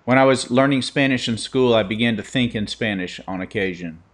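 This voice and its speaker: A man's voice: mid-range voice, brisk. A man joking around in a casual chat, playful and teasing. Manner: joking, playful mock skepticism.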